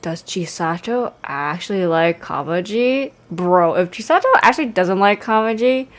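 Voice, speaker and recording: Playful, very animated young woman streamer. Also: fairly low pitch, moderate pace. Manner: playful, theatrical, slangy. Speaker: game streamer. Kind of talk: casual joking playthrough commentary.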